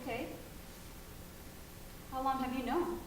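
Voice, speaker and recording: Woman doing a worried suspicious mom, high pitched, distant and roomy, noisy background. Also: fairly even intonation, takes it slowly. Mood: tender. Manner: anxious, questioning, rising to alarm. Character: worried mother, loving but suspicious. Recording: echoey room, distant mic, noisy ambience.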